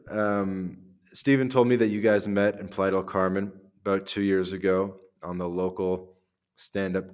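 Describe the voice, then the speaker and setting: A man hosting a podcast with a somewhat low voice, asking questions in a relaxed interview style. He hesitates often, filling gaps with ums and uhs. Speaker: podcast host. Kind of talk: casual interview.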